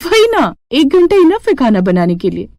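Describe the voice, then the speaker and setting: A woman's voice: high voice, huge pitch swings, moderate pace, darkish tone, dry recording, studio quality, pitched above the performer's own voice, full character voice. A woman voicing a young newlywed daughter-in-law, questioning and put-upon, in a cartoon moral story. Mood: puzzled.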